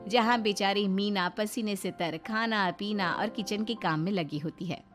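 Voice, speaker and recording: A woman's voice: mid-range voice, measured pace, fairly bright tone, faint music behind. A woman narrator reading a scripted moral story.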